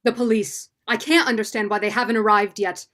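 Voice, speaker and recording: A woman's voice: medium pitch, fairly even intonation, a little fast, a bit bright, natural voice. A student actress playing an anxious, doubtful married woman with earnest melodrama in a vintage radio mystery. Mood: worried, insistent. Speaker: student actor.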